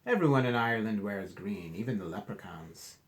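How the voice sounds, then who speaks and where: A man's voice: fairly low pitch, lively intonation, fairly quick, lightly acted. A man voicing a jolly old Irish grandfather spinning leprechaun tales in a storybook read-aloud, with emphatic delivery. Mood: playful, emphatic.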